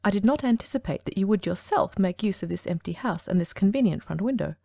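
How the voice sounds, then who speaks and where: A woman playing a brisk, ironic detective. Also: medium pitch, expressive, vocal fry, mellow, no echo, clean studio sound. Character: brilliant, coolly ironic male detective. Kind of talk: audiobook dramatic reading.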